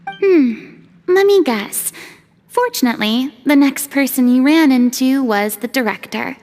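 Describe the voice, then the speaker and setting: A woman's voice: high voice, lively intonation, unhurried, darkish tone. An actress playing a poised, gracious young woman who serves as an artistic consultant, in a game cutscene. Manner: poised, polite. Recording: game mix with music clearly behind.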